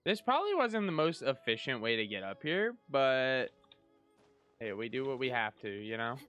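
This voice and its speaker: A man's voice: mid-range voice, sing-song, normal speed, fairly bright tone, clean tone, dull audio, soft background music. A man giving casual let's-play commentary as a streamer, informal and chatty. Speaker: streamer, gamer.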